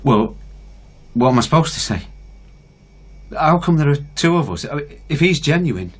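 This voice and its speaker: A man's voice: a little high, big pitch swings, normal speed, husky. An actor playing a wounded, sardonic man doubting his identity in a naturalistic radio drama, terse and wry. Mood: distraught, indignant.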